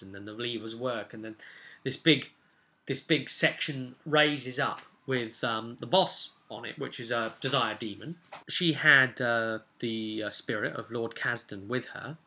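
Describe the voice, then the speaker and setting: A man giving a casual, unscripted recap as a game master, halting and rambling with sudden emphatic bursts. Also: mid-range voice, bright, forceful tone. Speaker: game master recapping a tabletop role-playing session.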